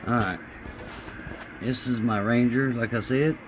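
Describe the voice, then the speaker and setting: A man gives a casual, unscripted walkthrough of his equipment in a halting, rambling, plain-spoken way, recorded in a room at home. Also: mid-range voice, fairly even intonation. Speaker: hobbyist vlogger showing radio gear. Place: small home radio room, indoors. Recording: muffled lo-fi audio with background noise.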